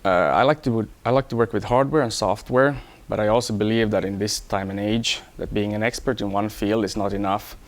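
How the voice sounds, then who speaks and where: A man from Hong Kong, an engineer, talking about himself in a measured, explanatory way for an employee profile. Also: on the low side, fairly even intonation. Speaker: electronics engineer. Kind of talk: first-person employee profile talk.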